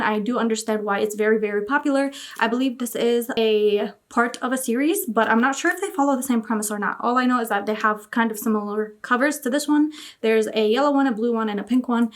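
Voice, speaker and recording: A young woman from Iraq chatting casually about books she read, gushing and repeating words like "really" and "very". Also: fairly high pitch. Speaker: book vlogger. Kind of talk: casual book review chat.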